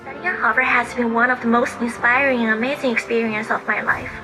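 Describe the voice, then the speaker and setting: Fast-talking woman over music. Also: fairly high pitch, fairly even intonation, rough recording. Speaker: graduating student giving thanks. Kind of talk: short prepared thank-you message.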